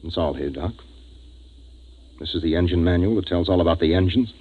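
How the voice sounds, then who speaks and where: An actor playing a gruff, decisive spaceship captain in a 1950s radio drama, narrating and giving orders. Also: male voice, deep voice, a little fast. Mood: shaken. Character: gruff, decisive male spaceship captain, troubled but in command. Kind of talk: 1950s radio acting with first-person narration. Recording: dull 1950s radio recording, music behind.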